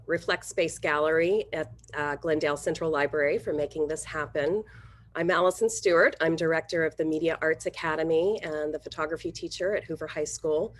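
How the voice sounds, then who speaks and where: Female event host, slightly low voice, welcoming, formal introduction style. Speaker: host, academy director and teacher.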